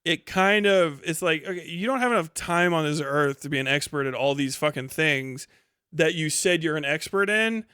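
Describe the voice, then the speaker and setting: A man's voice: fairly high pitch, moderate pace, pushed and bright, clear, resonant tone, no room sound, clean studio sound. A man in his thirties doing casual livestream commentary and reading chat in a blunt, sweary, incredulous way. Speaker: live streamer.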